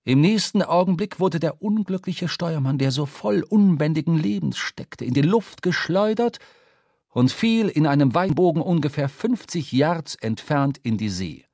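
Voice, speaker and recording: A man narrating a literary radio drama from a script, storytelling in measured, formal diction. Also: on the high side, expressive, a little mellow, dry recording, clean studio sound. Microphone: close studio microphone.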